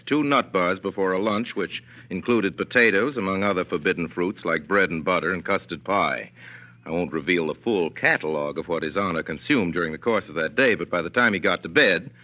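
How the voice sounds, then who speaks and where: A muffled 1940s radio recording carries a man narrating a story. His voice is low and forceful, and his delivery is wry, literate and conversational. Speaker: narrator and host.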